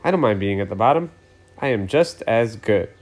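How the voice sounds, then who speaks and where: A man's voice: fairly low pitch, lively intonation, moderate pace. A man voicing an argumentative, indignant female green traffic light in a children's storybook, firmly retorting. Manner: firm, retorting.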